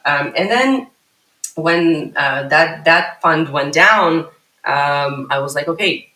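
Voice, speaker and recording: Animated woman in an echoey room. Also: low voice, takes it slowly. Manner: animated, rambling, many fillers and restarts. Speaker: startup CEO guest. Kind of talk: casual podcast interview.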